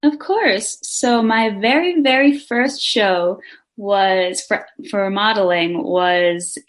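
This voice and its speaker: A woman's voice: mid-range voice, slow. A young woman from Denmark, a singer and actress, answering interview questions eagerly and running her sentences together.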